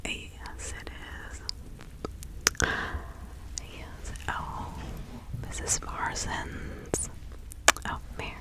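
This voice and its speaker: A woman plays an older village witch from the 1650s in an ASMR roleplay. She speaks in a breathy whisper at a slow, halting pace. Her manner is soothing, chatty and sympathetic, as if sharing confidences with a visitor. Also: poor audio. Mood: welcoming, sympathetic.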